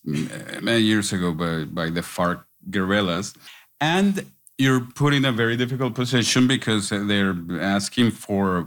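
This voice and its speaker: A man from Colombia speaks as a panel guest in a fairly low voice. He argues earnestly and with emphasis, often circling back to restart a phrase. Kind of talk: panel discussion.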